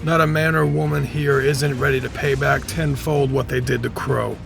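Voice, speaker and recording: A man's voice: mid-range voice, level tone, moderate pace, creaky, over music. A man playing a loyal, defiant military captain in an audio drama, with clipped, formal soldierly speech. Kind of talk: fan audio drama acting.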